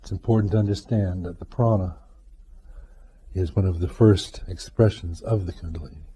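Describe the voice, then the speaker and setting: A man teaching guided meditation, speaking in a measured, deliberate way with pauses between short phrases. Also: low voice, mellow, husky.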